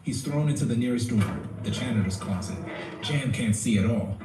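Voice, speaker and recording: A man's voice: medium pitch, fairly quick, natural voice. A man giving scripted narration for an audio drama. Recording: roomy, distant playback with music behind.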